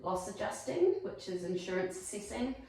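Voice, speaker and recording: New Zealand woman with a gravelly voice. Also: mid-range voice, very animated, normal speed, a little mellow, slight background noise. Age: 40s. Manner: chatty, friendly, many ums and fillers. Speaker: real estate agent in a role play. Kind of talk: acted sales meeting. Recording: echoey room, mic far off.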